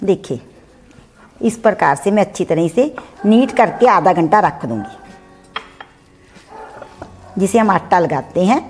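A woman's voice: on the low side, animated, a little slow, pauses often, a little mellow, slight background noise. A middle-aged woman in her home kitchen, chattily explaining each step of a recipe as she cooks. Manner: chatty, explanatory, mixes English words. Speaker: cooking channel host. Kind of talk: home cooking demonstration.

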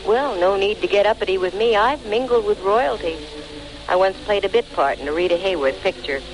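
A woman's voice: mid-range voice, brisk, music in the background, close to an everyday voice. An actress playing a wisecracking young female private detective with a dry, breezy delivery, in 1940s radio style. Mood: wry narration. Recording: noisy vintage 1940s radio recording.

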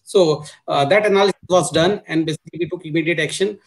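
A man's voice: a little high, fast talker, low-quality recording. A middle-aged man with an Indian accent explaining business matters as a panelist on a video call, leaning on repeated filler words. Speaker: panelist, supply chain executive. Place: indoor room on a video call.

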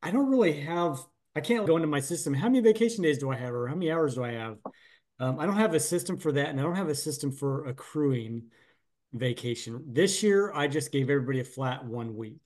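A man's voice: a little high, lively intonation, fast talker, a little mellow. Rambling middle-aged man on a video call. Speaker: painting business owner. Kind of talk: casual chat on a group coaching call. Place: small room at home.

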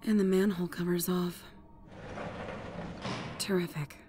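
A woman's voice: lowish voice, flat delivery, unhurried, over music, close to an everyday voice. A woman playing a tough, guarded secret operative in an audiobook, with curt, clipped delivery. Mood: grim. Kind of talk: acted audiobook dialogue over music.

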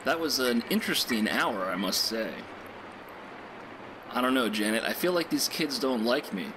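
A man's voice: medium pitch, lively intonation, normal speed, background noise, lightly acted. A man playing a bland, awkward late-night radio host in a video game, delivering talk show patter. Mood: awkward, mild. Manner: bland, mild, self-doubting.

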